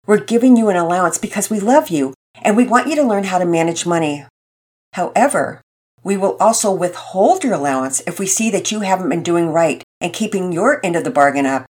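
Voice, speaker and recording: A woman voicing a gentle father in a storybook, speaking patiently and earnestly to his son. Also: on the low side, animated, brisk, slightly rough.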